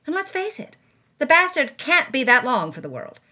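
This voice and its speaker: A woman's voice: high voice, sing-song, normal speed, pushed and bright, exaggerated voice. An actress playing a hard-bitten, world-weary woman reading her journal with wry, dramatic emphasis, as first-person audio drama narration. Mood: weary, defiant.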